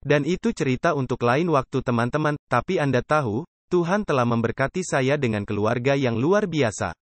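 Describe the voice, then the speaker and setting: A man delivers a sermon in a mid-pitched voice, earnest and emphatic, often turning to his listeners with questions. The recording is close and dry, with no room sound. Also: animated, normal speed, dry recording. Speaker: preacher. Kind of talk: dubbed sermon.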